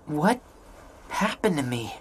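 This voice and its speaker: A woman's voice: low-pitched, very animated, takes it slowly, slight background noise, exaggerated voice. A woman voicing an earnest, loyal and impulsive teenage boy in a video game, with urgent, pleading delivery. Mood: dazed.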